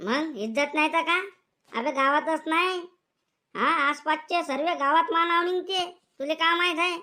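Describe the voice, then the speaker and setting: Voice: high-pitched, moderate pace, pushed and bright. A performer voicing a scolding, sarcastic village father in a comedic cartoon, with a rural Maharashtrian Marathi accent. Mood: mocking. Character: grumbling, mocking, boastful village father. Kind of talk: comedic cartoon skit dialogue.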